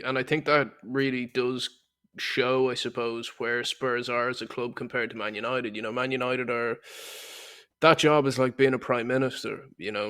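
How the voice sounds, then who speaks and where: A man co-hosts a casual football podcast at an ordinary middle pitch. He feels his way into each point, doubling back and repeating words as he goes. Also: fairly bright tone.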